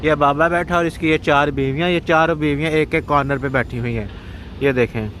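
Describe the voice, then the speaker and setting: A man's voice: on the high side, steady tone, fairly bright tone, a little hiss or hum. A man from Pakistan filming a travel vlog outdoors in a city square, pointing out the sights in a chatty way, holding a phone at arm's length. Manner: pointing things out, rapid and chatty. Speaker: vlogger. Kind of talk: casual travel vlog narration.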